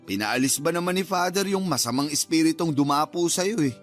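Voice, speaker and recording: A playful young man played by an actor, always bantering like a cheeky cousin in a radio drama. His voice is on the high side, with soft music in the background. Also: lively intonation, brisk, natural voice. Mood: teasing. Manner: joking, bantering. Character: playful, teasing young male cousin, food-loving.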